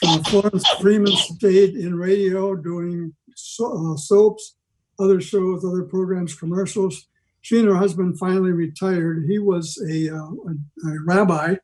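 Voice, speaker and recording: An older man giving an informal history talk on a video call, rambling along with halting digressions. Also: high-pitched, darkish tone, low-quality recording. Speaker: club member giving a presentation. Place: video meeting from home.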